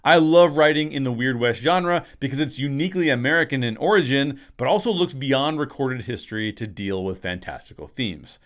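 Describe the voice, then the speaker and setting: Energetic man explaining to camera. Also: medium pitch, fairly quick, few pauses. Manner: energetic, gestures a lot with both hands. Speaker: writer and channel host. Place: small home studio room with framed posters. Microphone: desk microphone on a stand in front of him.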